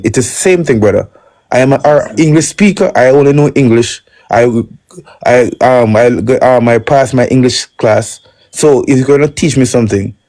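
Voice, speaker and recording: A man's voice: mid-range voice, warm tone. A man in an online debate, insistent and casual, calling others bro as he hammers his point. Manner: insistent, calls people "bro". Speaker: debate participant.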